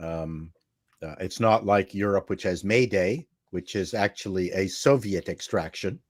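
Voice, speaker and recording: A man's voice: low voice, measured pace. A man speaking as a guest in casual panel chat.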